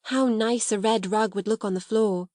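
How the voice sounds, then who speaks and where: A woman's voice: mid-range voice, fairly even intonation, normal speed, a little mellow, dry recording, clean studio sound, lightly acted. A woman voicing an imaginative, kind young girl in a storybook, exclaiming breathlessly. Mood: delighted.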